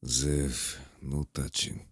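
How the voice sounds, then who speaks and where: A man playing a gruff, calm, dry-humored merman in an audio drama, with a gravelly, husky voice and deadpan delivery. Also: extremely low pitch, extremely slow, no echo, exaggerated voice.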